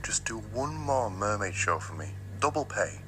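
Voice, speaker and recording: A man playing a persuasive, quietly manipulative aquarium manager in a horror web series, reassuring and coaxing. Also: lowish voice, big pitch swings, moderate pace, a little hiss or hum.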